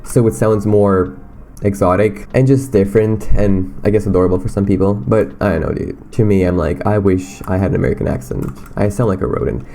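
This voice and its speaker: A man's voice: lowish voice, fairly quick, gravelly, warm tone, slight background noise. A young man from Romania with a slight Romanian accent, rambling in a casual, self-deprecating way on his solo podcast. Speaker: podcast host.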